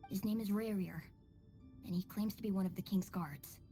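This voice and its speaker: Woman as mellow-voiced, knowing, cold informant. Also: medium pitch, faint music behind, close to an everyday voice. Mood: grave, urgent. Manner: deliberate, dramatic. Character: cold, knowing female informant, coolly taunting. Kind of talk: video game character acting.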